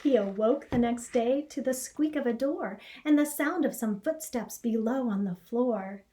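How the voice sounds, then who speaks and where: A middle-aged woman reading a children's story aloud in an expressive, measured storytelling manner. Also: on the high side. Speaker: storybook reader. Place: small indoor classroom or office.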